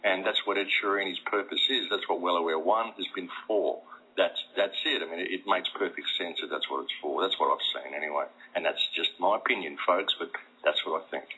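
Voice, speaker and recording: A man's voice: low-pitched, fairly quick, sharp tone, slightly rough. A middle-aged man with a South Australian accent talking emphatically and insistently as a guest in a conversational interview. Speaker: talk show guest. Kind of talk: animated conversational monologue. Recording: muffled lo-fi internet radio audio.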